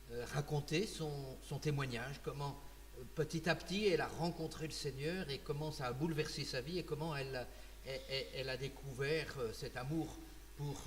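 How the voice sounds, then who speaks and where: A man hosts an interview, introducing his guest and asking questions with a halting, word-repeating delivery. His voice sits in a mid range and carries a long hall reverb. A faint hum runs underneath. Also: mid-range voice. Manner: halting, repeats words while framing questions. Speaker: interviewer, host. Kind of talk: testimony interview.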